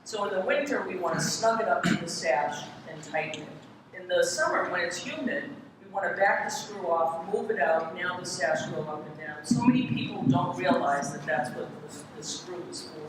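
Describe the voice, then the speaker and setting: Live demonstration talk, explanatory presenter, far from the mic, clearly noisy recording. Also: medium pitch, normal speed. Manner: explanatory, defining each part as it is shown. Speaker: presenter, window restorer. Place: large echoey room in a city hall. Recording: echoey hall, distant, noisy live event recording.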